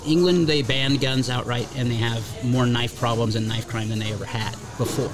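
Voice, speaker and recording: A man's voice: mid-range voice, fairly even intonation, fairly quick, over music. A man speaking on a talk radio show, earnest and explanatory, with frequent hesitant fillers. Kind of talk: casual talk radio conversation.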